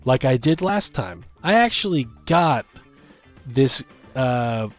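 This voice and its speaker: A man with an average-pitched voice talks casually as a gaming podcast co-host. He rambles and pauses mid-thought, restarting as he works ideas out aloud, with music faintly behind him. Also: big pitch swings. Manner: rambling, halting, thinking aloud. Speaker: podcast co-host and game master. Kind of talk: casual chat about tabletop gaming. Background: background music.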